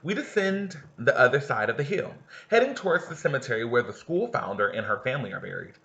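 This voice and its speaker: A man teacher who reads aloud and talks casually with his students. His voice is bright and forceful. The recording has a roomy echo and a slightly dull sound. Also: medium pitch, very animated, quick pace, vocal fry. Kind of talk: read-aloud lesson with discussion.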